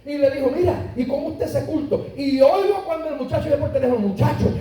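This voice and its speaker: High-voiced preacher in an echoey church. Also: male voice, mellow. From Puerto Rico. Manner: preaching with emphatic rises and slowed, stressed words. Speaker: pastor. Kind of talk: sermon. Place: church sanctuary. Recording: echoey church heard from a distance.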